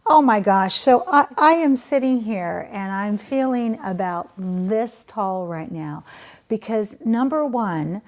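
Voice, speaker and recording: Brief: woman host, effusive and animated, interview questions. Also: medium pitch, takes it slowly. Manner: effusive, warm praise, animated. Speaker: talk show host.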